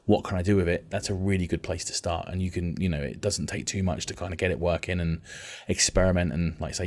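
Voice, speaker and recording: A man explaining music software as a tutor, in an informal, rambling, conversational way. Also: deep voice. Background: synth and vocoder music.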